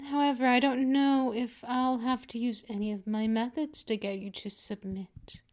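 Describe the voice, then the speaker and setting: A woman playing a dominant, patient female vampire mistress in an ASMR roleplay, teasing, menacing and condescending in a deliberate delivery. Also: a little high, a little mellow. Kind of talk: ASMR roleplay, scripted monologue.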